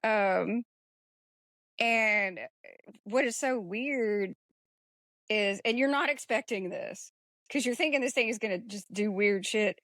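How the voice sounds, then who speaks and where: A woman's voice: mid-range voice, slow, long pauses, fairly bright tone, no echo, studio quality. A woman co-hosting a podcast, discussing a film casually in a blunt, slangy, informal way. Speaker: podcast co-host.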